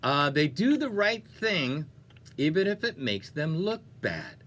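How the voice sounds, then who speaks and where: A man's voice: a little high, sing-song, slow, a bit bright. A man hosting a music radio show with upbeat DJ patter, playful and chatty, often interrupting himself.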